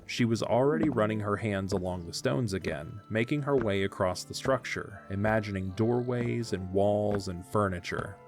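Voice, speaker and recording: A man's voice: on the low side, normal speed, hardly any pauses, soft background music. A man narrating a scripted story as the narrator of an audio drama.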